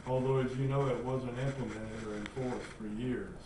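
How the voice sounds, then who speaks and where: An older man from North Georgia gives measured, deliberate memories in an oral history interview. His voice sounds roomy and distant, with a clear hiss in the background. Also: mid-range voice. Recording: echoey room, distant mic, steady hiss.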